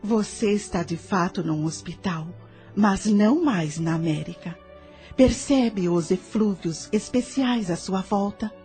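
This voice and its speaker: A woman with a Brazilian accent playing a serene, patient spirit guide with a soft, sweet voice in an audio drama. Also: mid-range voice, unhurried, faint music behind, natural voice. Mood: gentle, reassuring.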